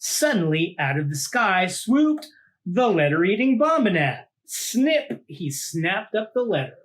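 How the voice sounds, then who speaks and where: Guy teacher doing a kids book read-aloud, slightly high voice, dramatic deliberate phrasing. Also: very animated. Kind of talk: animated storybook read-aloud for children.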